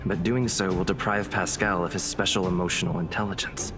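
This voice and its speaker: A low-voiced young adventurer thinks aloud in measured, reflective phrases. He is a thoughtful video game hero, with clear music playing underneath. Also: fairly even intonation. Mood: pensive. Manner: measured, reflective inner monologue. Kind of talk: scripted video game narration.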